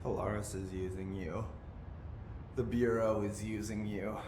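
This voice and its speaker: An actor playing an eerily calm, detached man who speaks slowly and flatly. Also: lowish voice, natural voice. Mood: eerie. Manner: slow, flat, eerily calm. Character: eerie, detached man, brother held in captivity, possessed. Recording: echoey room with background noise.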